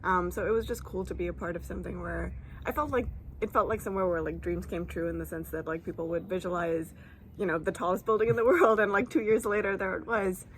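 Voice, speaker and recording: A young woman in her late twenties, talking casually and loosely with lots of filler words and quick laughs. Her voice sits a little low for a woman. A faint hiss of noise runs underneath. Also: steady tone, poor audio. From Dubai. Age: 20s. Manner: chatty, many filler likes and ums, laughs easily. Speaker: interviewee. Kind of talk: casual conversation. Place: lives in San Francisco.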